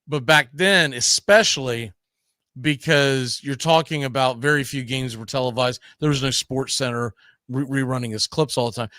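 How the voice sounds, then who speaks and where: A man's voice: medium pitch, fairly bright tone, no echo, clean studio sound. A man hosting a casual baseball talk show, conversational and often checking in with tag questions. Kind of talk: casual sports discussion.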